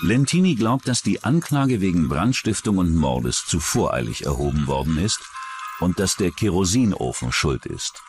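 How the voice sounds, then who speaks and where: A man's voice: low voice, sing-song, normal speed, soft background music. A man narrating a scripted true-crime documentary as its narrator.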